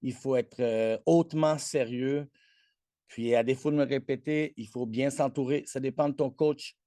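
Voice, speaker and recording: A self-assured trainer in his forties talks with a Québécois French accent, Spanish being his first language. His voice is mellow and warm, caught dry and close. He boasts freely and trips over his own restarts. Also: medium pitch, fairly even intonation, normal speed, no room sound. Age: 40s. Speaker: guest, athletic trainer. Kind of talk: casual interview chat.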